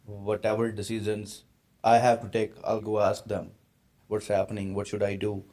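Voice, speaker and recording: An earnest man from India in his late twenties, hosting a podcast in a fairly low voice. He asks his questions carefully, with searching, slightly non-native phrasing. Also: monotone, normal speed, mellow. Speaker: podcast host. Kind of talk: casual interview conversation.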